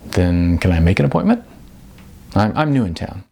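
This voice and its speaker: A man's voice: deep voice, very animated, gravelly, dark tone, husky, heavily acted. A man voicing a naive, eager young aspiring actor in an audiobook, speaking hesitantly. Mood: hesitant, hopeful. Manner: hesitant, with stammers and pauses. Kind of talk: audiobook character dialogue.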